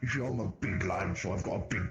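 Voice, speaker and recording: A man voicing a big, friendly male circus imp in voice chat roleplay, with a booming voice, curious and eager with questions. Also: fast, lo-fi sound, lightly acted. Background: music.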